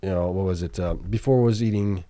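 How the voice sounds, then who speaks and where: A man's voice: low voice, fairly quick, dark tone, no room sound. A man hosting a late-night livestream, talking through financial results and viewer questions. He thinks aloud, with many fillers and self-corrections, and is starting to lose his voice. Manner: reads out viewer chat questions. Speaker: livestream host. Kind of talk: casual livestream chat reading financial results.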